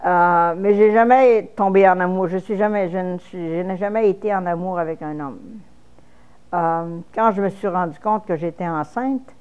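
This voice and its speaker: A woman's voice: lowish voice, normal speed, darkish tone, smooth, highs cut off. A woman in her fifties from Quebec with a Québécois French accent, speaking frankly in a personal interview, with false starts. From Quebec, Canada.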